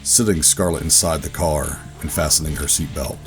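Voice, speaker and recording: A man playing a weary rogue operative, gruff but tender, narrating a sci-fi audio drama in a brooding, deliberate way. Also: extremely low pitch. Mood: brooding, resolute. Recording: music bed under the voice. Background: dramatic music.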